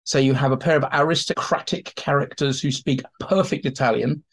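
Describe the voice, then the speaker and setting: Guy with an average pitched voice doing expert chat in an interview, informal history explainer, acts bits out. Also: steady tone, fairly quick. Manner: chatty, informal, acts out quotes and asides. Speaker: expert guest.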